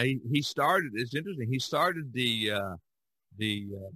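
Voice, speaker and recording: Guy on a podcast, bright voice, rambly stop-start storytelling, really dry sound. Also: mid-range voice, dry recording, rough recording. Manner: halting, repetitive storyteller. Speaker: podcast co-host. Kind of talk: casual art discussion.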